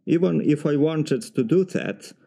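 A man with a Serbian accent giving formal, measured practice answers for a timed speaking exam. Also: a little high, animated, vocal fry, mellow. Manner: formal, measured, slightly stiff phrasing. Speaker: mechanical engineer practicing test answers.

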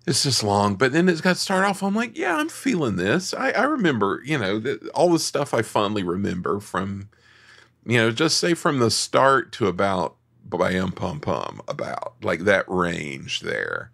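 A man's voice: fairly low pitch, huge pitch swings, unhurried, creaky, fairly bright tone. A man co-hosting a film podcast, chatting casually and thinking aloud with many restarts. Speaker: podcast co-host. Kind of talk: casual film review chat.

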